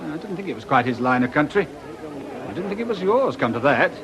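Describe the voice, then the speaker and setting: An actor playing a wry police detective inspector in a radio drama, politely probing and insinuating. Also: male voice, fairly high pitch, big pitch swings, fairly quick. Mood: probing, needling. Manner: breezy, insinuating, politely needling. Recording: noisy outdoor crowd behind the voice.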